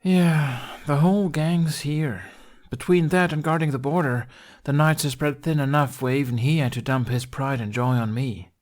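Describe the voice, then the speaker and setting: A man's voice: on the high side, moderate pace, pitched above the performer's own voice, close to an everyday voice. A man voicing a tough, exasperated young warrior woman in a fantasy audio story, blunt and teasing.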